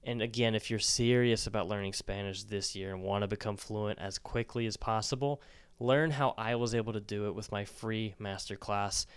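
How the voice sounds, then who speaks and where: A man's voice: on the low side, steady tone, normal speed, clean tone, dry recording. A young man hosting a language-learning podcast, talking casually and encouragingly with an occasional restart. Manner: conversational, encouraging, restarts mid-word. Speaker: Spanish-learning podcast host. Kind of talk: casual solo podcast advice.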